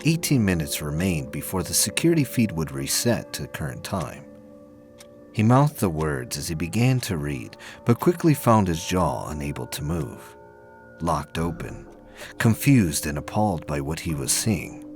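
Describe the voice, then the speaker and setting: A man narrating a science fiction audio drama in a solemn, measured, dramatic storytelling style. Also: extremely low pitch, very animated, a little mellow, faint music behind.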